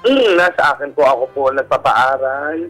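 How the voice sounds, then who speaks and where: A middle-aged man with a Filipino accent and a husky voice, telling his personal story haltingly and candidly in a radio interview. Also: mid-range voice, lively intonation, fairly bright tone, rough recording. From Philippines. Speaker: guest telling his life story, a stage performer. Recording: radio show with music bed. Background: background music.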